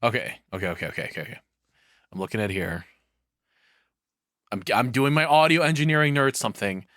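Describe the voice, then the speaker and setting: A man's voice: mid-range voice, fairly quick, a bit bright, no echo. Dry-sounding man rambling through casual reactions. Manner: rambling, opinionated, swearing. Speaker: reaction streamer.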